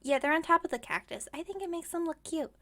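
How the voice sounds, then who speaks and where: A woman's voice: very high-pitched, animated, fast, sharp tone, slight background noise, exaggerated voice. A woman playing a scatterbrained, overconfident witch shopkeeper in an ASMR roleplay, chatting breezily and musing aloud with great self-assurance. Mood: curious, chirpy. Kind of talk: ASMR roleplay audio drama.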